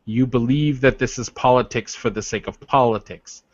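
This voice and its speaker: A man's voice: medium pitch, fairly even intonation, normal speed, darkish tone, no echo, highs cut off, natural voice. A man playing a proud, wounded werewolf war leader in a tabletop roleplay, speaking formally and defensively. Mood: indignant.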